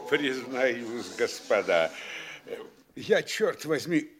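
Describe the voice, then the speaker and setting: An actor playing a puffed-up, boastful provincial mayor in a theatrical radio play, pompous and exclamatory. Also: male voice, medium pitch, very animated, drawn-out words, crowd noise. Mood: self-important.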